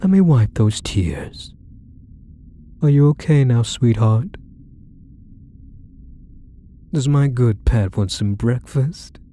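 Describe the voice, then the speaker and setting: A man's voice: mid-range voice, animated, takes it slowly, warm tone, slight background noise. A man playing a possessive, doting kidnapper husband in an intimate ASMR roleplay, cooing and coaxing with pet names. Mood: tender, cooing. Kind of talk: ASMR roleplay audio drama, intimate monologue to the listener.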